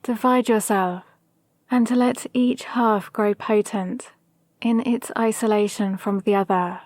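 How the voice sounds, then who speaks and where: A woman playing a calm, possibly mad villain sorceress in an ASMR roleplay, speaking to an apprentice in a measured, condescending, gently dominant way. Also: medium pitch, no room sound, studio quality, natural voice. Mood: patient, instructing. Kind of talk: ASMR roleplay with whispered asides. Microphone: close, dry microphone.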